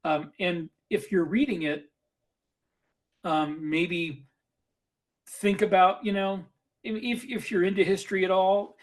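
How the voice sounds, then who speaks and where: Higher-voiced man talking casually, explaining. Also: fairly even intonation, measured pace, long pauses. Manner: explanatory, giving advice to writers. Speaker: podcast host and author. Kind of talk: casual podcast discussion.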